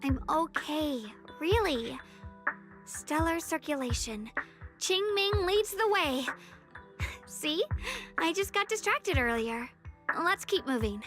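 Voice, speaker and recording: A gentle young woman from a video game, with a high voice and slow, halting delivery. She sounds distracted and mild, with faint music underneath. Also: expressive, full character voice. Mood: reassuring. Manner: hesitant, halting.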